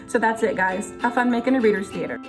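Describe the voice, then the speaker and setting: A woman teacher with a slightly high voice, giving children casual, encouraging instructions. Music plays under her voice. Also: quick pace. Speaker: teacher presenting an activity.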